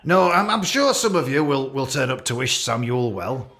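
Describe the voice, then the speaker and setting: A man's voice: mid-range voice, big pitch swings, normal speed, fairly bright tone. A man playing an awkward, catty office boss with a British accent, giving a hesitant, rambling, jokey leaving speech in a radio play. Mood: fond, rambling. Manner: hesitant, repetitive, jokey with barbed asides.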